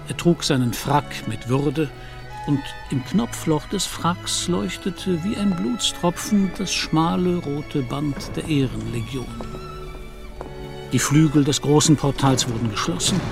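The narrator of a literary radio play. He has a mid-range voice and a very slow, grave, deliberate delivery. Music plays clearly behind him. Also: on the high side. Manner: measured, deliberate. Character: grave, unhurried storyteller of a literary tale.

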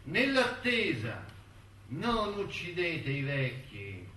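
An actor playing a wistful, bitter elderly intellectual in a theatrical monologue, musing and halting as he reminisces. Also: fairly high pitch, wildly swooping pitch, bright, forceful tone, a little hiss or hum, exaggerated voice. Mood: pleading. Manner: halting, musing, with rhetorical tags and repetitions. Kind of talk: theatrical monologue in a radio-broadcast play. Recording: echoey stage recording, distant mic, music.

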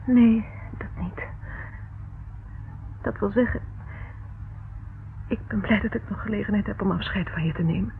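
An actress playing a frightened, secretive young woman in a radio play, emotional, shifting between hushed and urgent speech. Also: mid-range voice, a little slow, gravelly, long pauses. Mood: nervous, evasive. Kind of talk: radio play acting in Dutch. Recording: muffled, narrow-band, noisy old radio recording.

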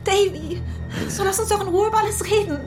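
A clingy young woman pleading in a radio drama. Her voice is extremely high, quick and lively, with music clearly behind it. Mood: pleading, distressed.

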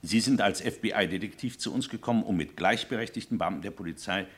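A senior police official played by a male actor in an old radio crime drama. His voice is low and his delivery measured and formal. He comes across as calm and firmly in command. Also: lightly acted. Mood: firm, rebuking. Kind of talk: vintage German radio crime drama acting.

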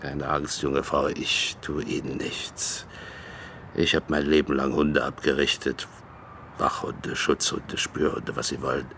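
An older man acting in a radio drama as a gruff, wryly nostalgic East German dog handler who talks in unhurried, terse phrases. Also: a bass voice, level tone, fairly bright tone, slight background noise, heavily acted. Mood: calm, wry.

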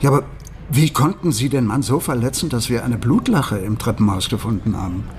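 An actor playing a polite, persistent police detective in a radio crime drama, probing in slightly hesitant officialese. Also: male voice, mid-range voice, animated, a little fast, darkish tone, slight background noise, close to an everyday voice.

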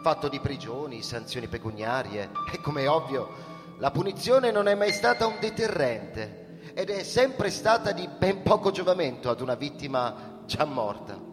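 Actor as ambitious young deputy, animated higher voice. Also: male voice, moderate pace, close to an everyday voice. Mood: keen, assured. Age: young adult. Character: confident, ambitious young male deputy, smoothly deferential. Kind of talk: theatrical radio drama dialogue. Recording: long hall reverb, music underneath. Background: music.

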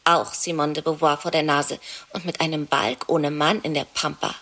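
An actress in a radio play, playing a chatty, sardonic young wife who is jealous. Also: female voice, low-pitched, steady tone, moderate pace, fairly bright tone. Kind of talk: radio play monologue and dialogue.

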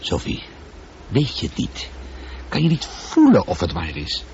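A man playing an excitable, sceptical space traveller in a radio drama, questioning bluntly and with feeling. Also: sits low, a little slow, heavily acted. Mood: agitated, intense. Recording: dull, noisy old radio drama recording.

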